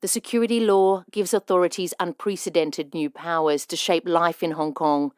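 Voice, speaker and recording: A woman presenting a radio documentary, narrating a script in a measured, even way over a studio microphone. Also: lowish voice, clean tone, no room sound. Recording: music bed underneath.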